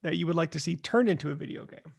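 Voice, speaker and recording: Male host, high-pitched with heavy fry, casually moderating a chat, dry sound. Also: quick pace, no room sound. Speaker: podcast host steering the discussion.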